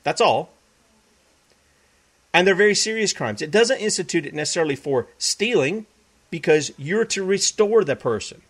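A man's voice: high-pitched, lively intonation, normal speed, long pauses, a bit bright, slightly rough. A man hosting a web broadcast, preaching emphatically, repeating himself and quoting scripture. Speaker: talk show host.

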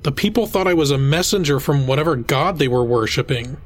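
A man's voice: on the high side, animated, brisk. A man playing a wry, apologetic dead time traveler in an audio drama, speaking hesitantly. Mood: wry exasperation.

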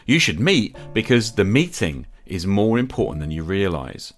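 A man's voice: fairly low pitch, sing-song, moderate pace, faint music behind. A middle-aged man hosting a podcast, delivering an earnest scripted monologue in short, emphatic phrases. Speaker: podcast host.

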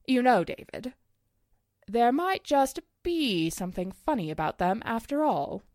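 A woman's voice: fairly high pitch, sing-song, a little slow, darkish tone, no echo, clean studio sound. A woman acting a suspicious, insinuating small-town housewife in a 1940s-style radio drama. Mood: suspicious gossipy.

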